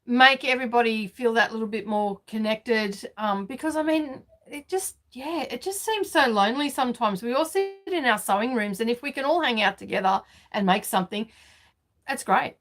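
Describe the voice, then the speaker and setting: A woman's voice: fairly high pitch, moderate pace, a bit bright. A woman, hosting a casual live sewing chat from her home studio, chatty and laughing often. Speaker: sewing vlog host.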